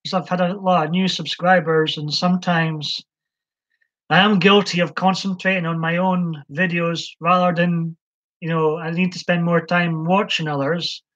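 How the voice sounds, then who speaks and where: A man's voice: fairly high pitch, flat delivery, normal speed, a bit bright. A man hosting a casual live stream, chatting hesitantly with lots of ums as he answers viewers' comments. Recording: dull lo-fi stream audio.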